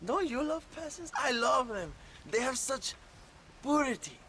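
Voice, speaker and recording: A man playing a pompous, lecherous Mexican rebel leader in a video game, theatrical and flattering, with a Mexican accent. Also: very high-pitched, measured pace, slight background noise, heavily acted. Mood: amused, smug. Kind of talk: video game cutscene acting.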